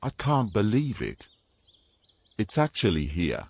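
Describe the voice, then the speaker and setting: A man's voice: on the low side, wildly swooping pitch, takes it slowly, vocal fry, husky, full character voice. A man playing a grumbling, exasperated adventurer in a fantasy audio drama, haughty and formal in his phrasing. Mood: amazed, grumbling. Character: grumbling, exasperated young adventurer carrying a mermaid.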